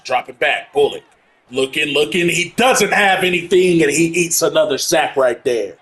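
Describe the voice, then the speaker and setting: A man doing casual play-by-play of his own football video game, his voice congested from allergies. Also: mid-range voice. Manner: casual play-by-play, gets loud and excited on big plays. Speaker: gamer playing through a football game. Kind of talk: play-by-play commentary on own gameplay.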